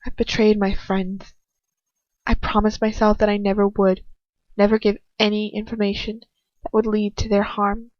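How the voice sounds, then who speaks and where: A woman narrates a story in the first person with plenty of feeling. Her delivery is a little halting, and the dry home recording sounds dull and lo-fi. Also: medium pitch, completely flat delivery, dry recording. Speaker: amateur fan fiction reader.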